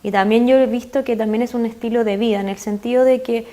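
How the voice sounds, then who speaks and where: A woman's voice: a little high, fairly even intonation, quick pace, warm tone. Chilean woman explaining mindfulness earnestly. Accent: Chilean Spanish. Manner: earnest, explanatory, with frequent fillers. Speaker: mindfulness guest expert. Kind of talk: explanatory interview talk.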